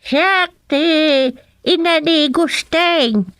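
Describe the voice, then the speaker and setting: A fussy elderly village grandmother in a radio comedy drama. Her voice is extremely high and piercing, drawn out slowly and recorded close and dry. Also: fairly bright tone, dry recording, heavily acted. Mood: fussy, secretive.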